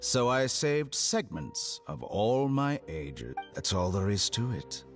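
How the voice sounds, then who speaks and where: An actor playing an arrogant, calculating scholar villain in a video game, speaking in a deliberate, condescending, mock-polite way. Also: male voice, fairly low pitch, very animated, faint music behind, heavily acted. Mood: smug, composed.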